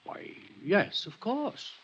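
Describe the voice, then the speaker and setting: Guarded, polite gentleman, expressive intonation. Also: sits high, slow pace, slightly rough, full character voice. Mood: uneasy. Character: guarded, polite, uneasy well-to-do male theft victim. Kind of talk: 1950s radio drama acting. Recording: dull vintage radio recording.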